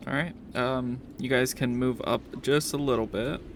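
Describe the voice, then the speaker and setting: A man narrating a tabletop game as game master in a casual, halting way, often repeating words as he explains. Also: mid-range voice, fairly even intonation, slight background noise.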